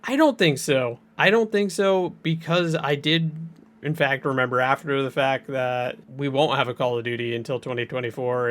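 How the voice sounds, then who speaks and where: A man's voice: mid-range voice, a bit bright, slight background noise. A man hosting a video, giving casual gaming commentary to viewers and repeating his points for emphasis. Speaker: video creator and host. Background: music.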